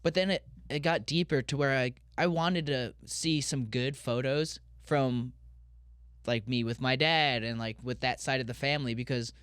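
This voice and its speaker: A man in his thirties from Colorado, chatting as a podcast guest in a candid, rambling way, circling back and restarting his sentences. His voice is smooth and clear, sitting at a middle pitch, and it sounds dry, with almost no room around it. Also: normal speed, a bit bright, dry recording. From Colorado, USA. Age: 30s. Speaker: guest, pro skateboarder. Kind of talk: casual podcast interview.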